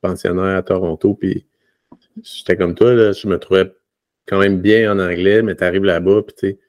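A man's voice: sits low, steady tone, normal speed, darkish tone, dry recording, studio quality. A man with a Quebec French accent, hosting a casual conversational interview. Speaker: podcast host.

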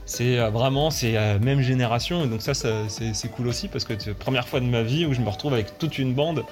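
A man's voice: mid-range voice, fairly quick. A man of thirty-eight chatting casually as an interview guest, enthusiastic and emphatic, often repeating himself. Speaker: football commentator, interview guest. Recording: music playing behind the voice.

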